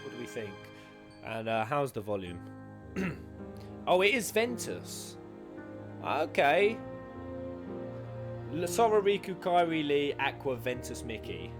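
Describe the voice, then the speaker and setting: A man giving casual, playful and animated reaction commentary as a gaming YouTuber, with some crude humour. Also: on the high side, takes it slowly, pauses often, music in the background.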